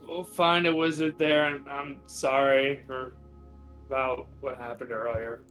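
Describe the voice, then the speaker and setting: A man's voice: mid-range voice, flat delivery, a little slow, fairly bright tone, rough recording. A man playing a tabletop roleplaying game, chatting casually and improvising in a hesitant, apologetic way. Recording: music behind the voice. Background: music.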